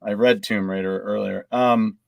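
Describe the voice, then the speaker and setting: A man's voice: lowish voice, fairly even intonation, moderate pace, vocal fry, no echo, studio quality. A man chatting casually as a podcast panelist, easygoing and joking.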